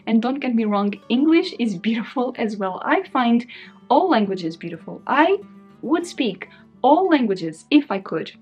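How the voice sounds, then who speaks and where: A woman's voice: fairly high pitch, very animated, measured pace, a little mellow, breathy edge, dull audio. Indecisive young woman with light music. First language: Portuguese. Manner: indecisive, musing, laughs often. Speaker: book vlogger. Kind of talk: casual chat to camera. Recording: faint guitar music behind.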